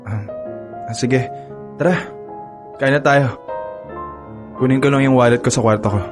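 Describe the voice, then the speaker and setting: A man's voice: mid-range voice, normal speed, over music, lightly acted. A man playing a flustered, pleading young boyfriend. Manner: pleading, flustered, stammers when caught. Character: young man, lovestruck boyfriend growing suspicious. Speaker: lead character, devoted boyfriend. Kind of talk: Filipino radio drama acting.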